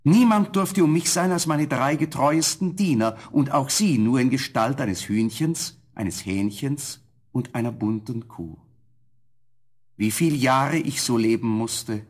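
An actor playing a noble, tender young prince in a fairy-tale audio play, with a solemn, courtly manner and a husky voice. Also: male voice, mid-range voice, unhurried. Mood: solemn, happy.

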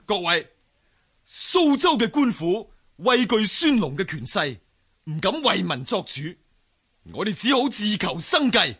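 Male rebel leader, high forceful voice, rousing and commanding, historical radio drama. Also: very animated, unhurried, husky, exaggerated voice. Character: fiery rebel leader, male weaver rallying an angry crowd.

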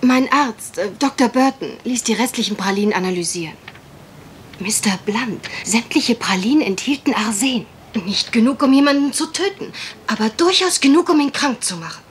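A woman's voice: a little high, animated, normal speed, slight background noise, natural voice. A woman acting a genteel, worried young country heiress in a German radio mystery play, polite, well-spoken and a little hesitant. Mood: worried, confiding.

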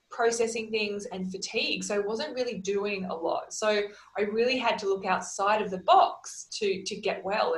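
Woman in her thirties, earnest and steady, video call from home, roomy echo. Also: medium pitch. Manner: earnest, steady storytelling with lists. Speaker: guest, holistic wellness practitioner. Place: small room at home. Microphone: video call, laptop camera. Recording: echoey room on a video call.

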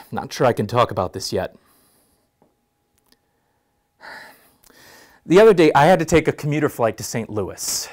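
A man performing a theatrical comic monologue as an exasperated man, talking in a halting, incredulous, conversational way. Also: medium pitch, natural voice. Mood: weary exasperation. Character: exasperated man recounting an annoying houseguest's antics.

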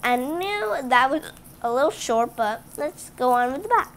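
A woman's voice: high-pitched, expressive, moderate pace. A female channel host reading a picture book aloud to children at home, stumbling and restarting on words and stopping for chatty asides to the kids. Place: indoors at home, book on a table.

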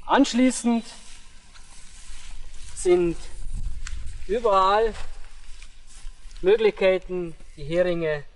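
A young man giving step-by-step instructions on pitching a tent in an outdoor meadow, pausing with fillers as he works. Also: poor audio. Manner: explains step by step with pauses and fillers. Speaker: product demonstrator.

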